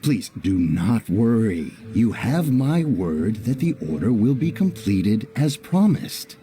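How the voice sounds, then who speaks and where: A man's voice: lowish voice, very animated, slow, mellow, soft background music. An actor playing an anxious, apologetic male merchant eager to appease in a video game. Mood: placating. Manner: apologetic, placating. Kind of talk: video game character acting.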